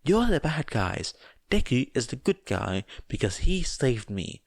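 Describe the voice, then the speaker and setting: A man voicing a stubborn little girl, blunt and menacingly earnest, with halting, insistent speech in an audiobook. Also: mid-range voice, very animated, vocal fry, no echo, pitched above the performer's own voice, heavily acted.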